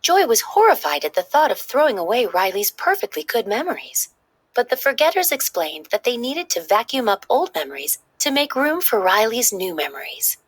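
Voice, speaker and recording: A woman narrates a children's storybook aloud with lively, animated intonation. Her voice is slightly husky and sits at a medium pitch. The sound is close and dry, with little room in it. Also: normal speed, no room sound. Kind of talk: expressive scripted read-aloud narration.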